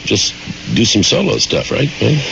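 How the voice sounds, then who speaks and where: Deep-voiced man hosting call-in radio. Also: vocal fry, noisy background. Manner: relaxed, joking, slow deliberate announcing. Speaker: radio host. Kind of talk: live call-in radio interview. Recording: dull lo-fi radio broadcast.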